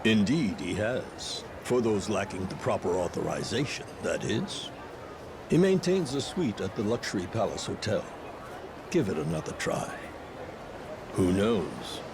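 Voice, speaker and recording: An actor playing a courtly, self-assured old martial artist turned lawyer who speaks in formal, polite, wordy phrases. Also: male voice, on the low side, sing-song, a little slow, noisy background. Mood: approving.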